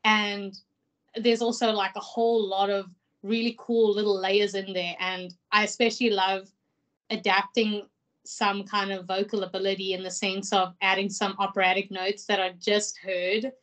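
A woman's voice: medium pitch, level tone, moderate pace, fairly bright tone. A young woman from South Africa, a singer, chatting enthusiastically about her music in a video call interview. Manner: chatty, enthusiastic, many "like" fillers. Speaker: singer guest. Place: small room indoors. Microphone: video call, laptop mic.

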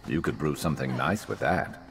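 A man playing a gruff, loyal reptilian companion in a video game, dry and terse with a vengeful streak. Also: a bass voice, a little fast. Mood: dry, reassuring. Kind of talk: video game character acting.

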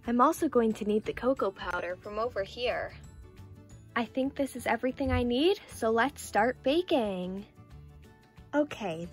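A woman's voice: on the high side, a little mellow. A woman voicing a cheerful doll girl in a scripted toy play video, sweet, enthusiastic and instructional. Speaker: toy channel host.

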